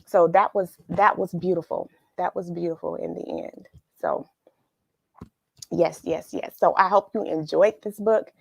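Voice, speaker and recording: A woman's voice: lowish voice, fairly even intonation, a little slow, vocal fry, breathy edge, no echo. A woman hosting a children's read-along, chatting casually and expressively to young viewers and musing aloud as she addresses them. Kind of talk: casual chat to young viewers after a story reading. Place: at home.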